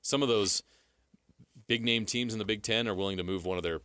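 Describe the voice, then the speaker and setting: Man, American accent, sports radio co-host, slightly low pitch, bright forceful tone, emphatic explaining, dry sound. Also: a little fast, smooth, no room sound. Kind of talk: casual sports talk analysis.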